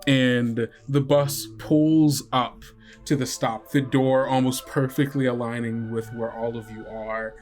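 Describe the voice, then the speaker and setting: A man narrating as game master of a tabletop podcast, unhurried and often pausing on fillers. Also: medium pitch, fairly even intonation, a little mellow, faint music behind. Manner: unhurried, hesitant with many fillers.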